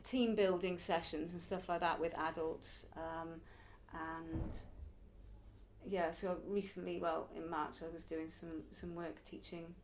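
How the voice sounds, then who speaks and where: A woman's voice: fairly low pitch, flat delivery, unhurried, slight background noise, poor audio. Chatty woman in her early forties. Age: early 40s. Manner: chatty, reflective, laughs now and then. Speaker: circus performer and youth circus teacher. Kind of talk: casual interview answers.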